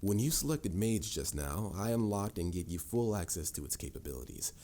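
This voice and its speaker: A man's voice: sits low, animated, a little fast, dark tone. A man playing a stern, formal mage mentor with a dry, lecturing manner in a scripted fantasy audio roleplay. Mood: instructive. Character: stern, dryly exasperated adult male mage mentor.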